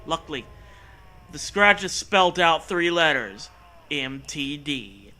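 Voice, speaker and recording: High-voiced man, piercingly bright, hosting comedy: theatrical, sweary, sarcastic story reading with joking asides. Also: expressive, takes it slowly. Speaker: comedy show host. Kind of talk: mocking read-aloud of a story with joking asides.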